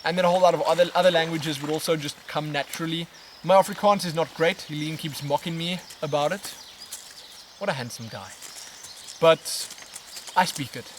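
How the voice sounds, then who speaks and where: A man, casually answering viewer questions in a relaxed, expressive, rambling way as a vlog host. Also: a little high. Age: 20s. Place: outdoors on a riverbank in woodland. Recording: outdoor natural background noise, birds. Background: outdoor ambience with birds.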